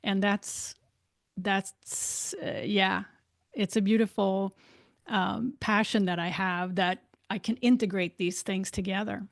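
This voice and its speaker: The guest on a spiritual podcast, a woman speaking English as a second language, weighing each halting phrase slowly with a gravelly fry. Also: mid-range voice, fairly even intonation, dry recording, studio quality. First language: not English. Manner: halting, deliberate, searching for words. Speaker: interview guest, spiritual life coach and author. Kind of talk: reflective interview conversation.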